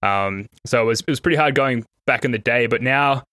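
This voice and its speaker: Podcast host, Australian man, slight American tinge, bright voice, dry sound. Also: mid-range voice, a little fast, no room sound. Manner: chatty, self-deprecating, laughs easily. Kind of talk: casual podcast chat.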